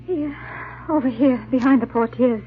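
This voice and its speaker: Actress as fugitive wife, fearful, resolute, dramatic, fairly high voice, muffled vintage radio recording. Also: female voice, moderate pace, very noisy, lightly acted. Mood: frightened, urgent. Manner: earnest, dramatic. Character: frightened, resolute wife fleeing her murderous husband. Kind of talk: old-time radio drama acting and narration.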